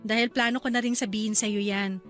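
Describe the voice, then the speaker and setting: A woman's voice: mid-range voice, fairly even intonation, brisk, a bit bright, faint music behind, natural voice. An actress with a Filipino accent playing an agreeable, dutiful young wife and new mother in a radio drama. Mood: cheerful, chatty. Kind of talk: Tagalog radio drama acting.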